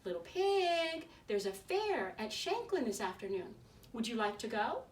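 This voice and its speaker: A woman voicing a sly, hungry big bad wolf in a read-aloud storybook, with booming drawn-out threats and wheedling offers. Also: sing-song. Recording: distant mic, faint background noise.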